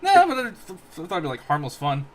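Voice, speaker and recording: A man co-hosting a casual podcast chat, his voice a little hoarse, joking and laughing often. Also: on the high side, wildly swooping pitch, a little fast. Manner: laughs often, jokey asides. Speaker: podcast co-host.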